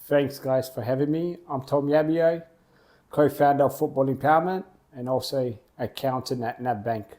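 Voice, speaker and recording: A young man, a football program co-founder, answering interview questions plainly in short, halting clauses. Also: medium pitch, warm tone. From Australia.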